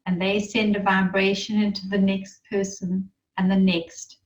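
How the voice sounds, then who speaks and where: A woman leading a guided meditation in a soothing, unhurried manner, with gentle instructions and pauses. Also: mid-range voice, level tone, far from the mic, dull audio.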